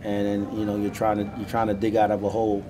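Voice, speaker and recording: Low-voiced coach at a press conference. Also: male voice, completely flat delivery, background noise. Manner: measured, repeats and restarts phrases. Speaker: head basketball coach. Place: small indoor media room. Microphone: handheld recorders held up by reporters.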